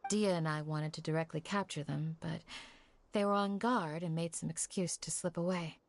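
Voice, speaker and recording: Composed, low-voiced guardian woman. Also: fairly even intonation, normal speed, gravelly, a little hiss or hum. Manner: formal, polite. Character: composed, courteous female guardian warrior. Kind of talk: video game voice acting. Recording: game music behind the voice.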